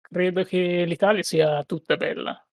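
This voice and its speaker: A man's voice: high voice, fairly even intonation, moderate pace, no echo. Hesitant man, dry sound. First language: Italian. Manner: hesitant, full of "uh" fillers. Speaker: podcast guest. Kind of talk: casual chat.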